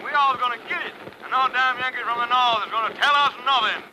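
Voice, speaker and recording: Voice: medium pitch, quick pace, bright, forceful tone. An actor playing a defiant, folksy Southern orator in a 1950s radio drama, heard on a muffled, noisy old disc.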